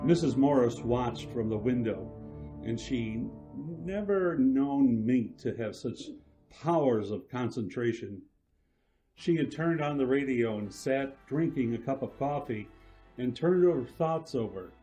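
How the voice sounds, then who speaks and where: A man narrating a radio drama in a measured storytelling manner. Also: medium pitch, expressive, mellow, soft background music, low-quality recording.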